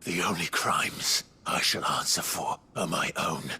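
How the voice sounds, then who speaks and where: A man playing a grim, defiant outlaw swordsman in a video game, gravelly and intense, with terse, brooding delivery. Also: sits low, unhurried, bright, forceful tone, slight background noise, heavily acted. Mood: defiant, resolute. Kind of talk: dramatic video game acting.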